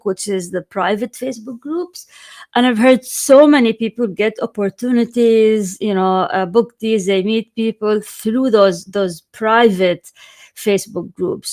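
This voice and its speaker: A woman hosting an interview in a casual, informal, chatty way. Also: medium pitch. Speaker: podcast host and journalist.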